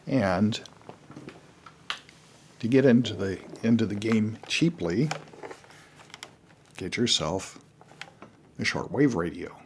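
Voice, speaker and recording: An older man giving casual, unscripted how-to advice to beginners as a hobbyist, in a halting, rambling way with frequent pauses. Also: fairly low pitch, animated, slight background noise.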